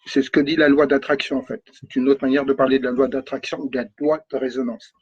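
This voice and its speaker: A man's voice: mid-range voice, flat delivery, fast talker, a little mellow, husky. A man coaching on energy and spirituality, thinking aloud with lots of repetition and checking "okay?", talking with a runny nose. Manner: repeats words, thinks aloud, stresses points with "d'accord?". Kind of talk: spoken talk on spirituality. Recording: dull lo-fi recording.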